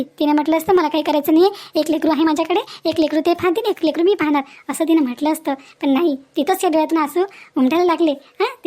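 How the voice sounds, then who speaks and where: A woman's voice: high voice, darkish tone, close to an everyday voice. A woman voicing a pleading, scolding motherly village neighbour in a cartoon drama, insistent and repetitive, with a rural Maharashtrian Vidarbha accent. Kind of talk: Marathi cartoon moral drama dialogue.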